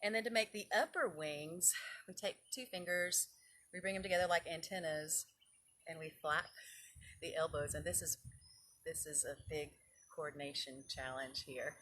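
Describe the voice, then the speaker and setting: A woman's voice: sits low, fairly bright tone, husky. A woman leading children's yoga and storytime, giving informal, encouraging, step-by-step guidance. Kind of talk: informal instruction and picture-book storytelling for children.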